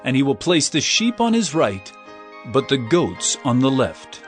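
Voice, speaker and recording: A man's voice: medium pitch, big pitch swings, a little slow, faint music behind. A man narrating scripture in a scripted, dramatized style.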